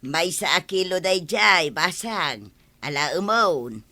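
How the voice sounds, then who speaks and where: A woman acting a kind-hearted older village neighbour, gentle and forgiving, with an Ilocano accent in a comedy radio drama. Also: on the low side, lively intonation, slow, exaggerated voice. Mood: generous. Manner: gentle, forgiving, matter-of-fact.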